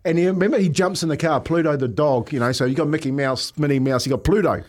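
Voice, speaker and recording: Middle-aged male Kiwi radio host, higher-pitched, animated storyteller with an easy chuckle, casual banter. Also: fast talker. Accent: New Zealand. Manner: animated, jokey storyteller who often chuckles while talking.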